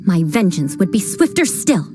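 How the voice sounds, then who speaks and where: A woman's voice: medium pitch, sing-song, normal speed, noisy background, lightly acted. An actress playing a proud young noblewoman knight captain who talks with dry haughtiness and vows vengeance over every little slight. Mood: aloof. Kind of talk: video game voice acting. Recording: game dialogue with background music.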